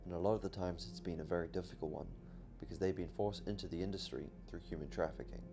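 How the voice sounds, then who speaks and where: Male narrator, low and gravelly, measured scripted read, music bed. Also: steady tone. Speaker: documentary narrator. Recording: music behind the voice.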